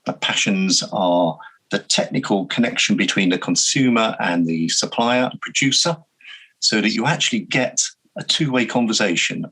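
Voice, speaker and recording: Husky, low-voiced older man. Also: lively intonation, normal speed, sharp tone, rough recording. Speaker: panel guest. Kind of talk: panel introduction.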